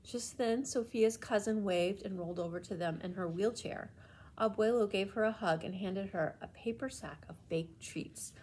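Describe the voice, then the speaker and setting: Woman reading a children's story, some noise. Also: medium pitch. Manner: animated, deliberate storytelling with emphasis. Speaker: librarian reading a children's book aloud. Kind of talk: expressive scripted storybook narration for children.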